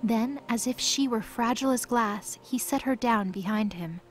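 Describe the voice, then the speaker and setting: A woman narrates a mythology audio drama in a scripted storytelling style. Her voice sits a little high, and music plays clearly underneath. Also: normal speed.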